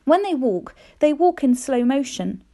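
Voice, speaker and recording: Lady reading a kids book out loud, highish mellow voice, really expressive with dramatic emphasis. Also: measured pace. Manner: animated, careful storytelling with dramatic emphasis. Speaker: teacher reading aloud to pupils. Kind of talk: expressive children's storybook reading.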